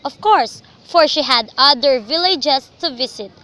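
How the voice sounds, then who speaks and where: A woman's voice: high-pitched, animated, unhurried, pushed and bright. A young woman reading scripted narration for a radio drama in a storytelling style. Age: young adult.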